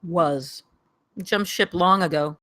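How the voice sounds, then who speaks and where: A woman's voice: fairly low pitch, slow, a bit bright. Woman reading a weary, earnest former government man. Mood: weary, defensive. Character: ex-government man, weary, defensive, an earnest Disney ride fan. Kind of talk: script table read.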